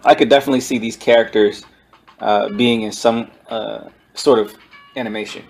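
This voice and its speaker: A man giving a casual, slangy book review as an online video host, often halting as he searches for words. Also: lowish voice, fairly even intonation, creaky, soft background music.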